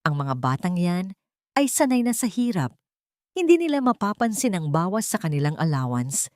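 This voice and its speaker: A woman's voice: fairly low pitch, very animated, normal speed, a little mellow, no echo, studio quality. A woman voicing a wealthy, haughty old matriarch in an audiobook, cold, imperious and unhesitating. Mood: cold, scheming. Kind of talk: audiobook character dialogue.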